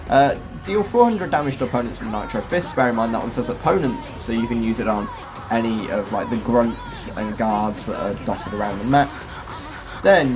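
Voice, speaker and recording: A man hosting a gaming video, giving casual, chatty guide commentary and explaining as he goes. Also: mid-range voice. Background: music.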